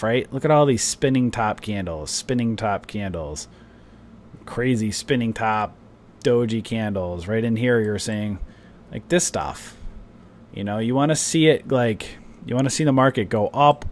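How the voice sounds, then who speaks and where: A man talking casually through stock charts for his viewers, rambling with lots of fillers. His voice feels a little off today, and he coughs and clears his throat now and then. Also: on the low side, a little hiss or hum. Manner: rambling, chatty, many fillers like "you know" and "right". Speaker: stock trading streamer. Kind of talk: casual market commentary to viewers.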